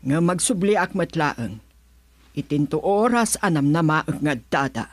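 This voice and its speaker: A woman playing a worried, determined mother and grandmother in a radio drama, husky-voiced, with an Ilocano Filipino accent. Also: sits low, lively intonation, measured pace, no echo. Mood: concerned, determined. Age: middle-aged.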